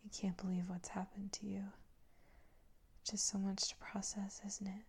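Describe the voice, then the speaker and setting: A woman playing a domineering, cooing character in an intimate adult roleplay, mostly whispering in a breathy, coaxing, condescendingly tender manner. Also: medium pitch, flat delivery, moderate pace, a little mellow. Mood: soothing, possessive. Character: domineering, cooing woman who soothes and commands an adult man.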